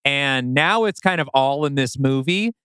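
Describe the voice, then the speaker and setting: A man's voice: medium pitch, expressive, unhurried, bright, forceful tone, clear, resonant tone, no room sound, clean studio sound. A man chatting informally as a podcast co-host, talking through creative work. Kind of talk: casual chat about scriptwriting.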